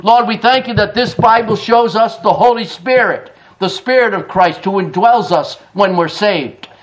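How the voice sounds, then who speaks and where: A middle-aged man preaching a sermon in a church, emphatic, with quick chuckling asides. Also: high-pitched, poor audio. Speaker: pastor. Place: church sanctuary.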